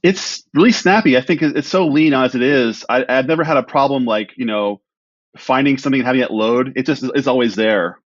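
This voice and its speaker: A man's voice: mid-range voice, brisk, no echo. Chatty self-deprecating man, dry dull recording. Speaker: podcast guest, writer. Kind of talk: casual interview chat.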